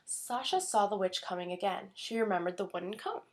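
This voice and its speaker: A woman narrates a children's tale from a book. Her delivery is expressive read-aloud storytelling, at a middle pitch. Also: fairly quick, very noisy. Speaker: storybook reader.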